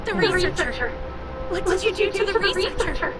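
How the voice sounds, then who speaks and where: High-pitched, distressed game heroine. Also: female voice, lively intonation, moderate pace. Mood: shocked, distressed. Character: distressed, defiant young heroine confronting her tormentor. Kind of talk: video game voice acting. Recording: game music loud behind voice.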